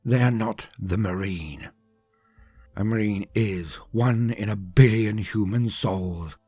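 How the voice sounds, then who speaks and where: A man delivering grandiose scripted narration like a stirring speech, emphatic and full of deliberate dramatic pauses. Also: lowish voice, warm tone, slightly rough, no room sound, soft background music. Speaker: narrator of a fan lore channel.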